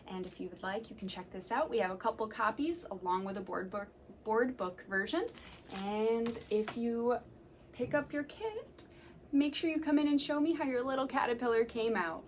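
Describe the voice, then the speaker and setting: A young woman, a children's librarian, reading a picture book aloud to children in a gentle, slow, sing-song way and counting along. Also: a little high, slight background noise. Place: library room.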